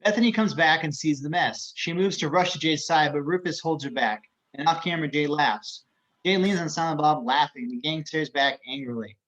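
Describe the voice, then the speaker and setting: A man narrates scripted action descriptions at a table read. His voice is on the higher side for a man. The recording is dull and lo-fi. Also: normal speed, a bit bright.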